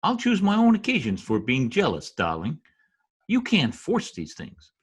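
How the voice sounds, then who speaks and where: A performer playing a suave, unbothered male diplomat fiancé in old-time radio drama style, with a husky voice. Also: mid-range voice, huge pitch swings, normal speed, full character voice. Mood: cool, sardonic.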